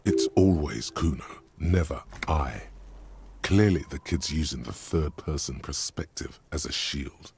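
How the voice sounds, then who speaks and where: A man voicing the grave, knowing inner voice of a detective's mind, in measured scripted video game narration. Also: very deep voice, creaky, a little mellow, slightly rough, heavily acted.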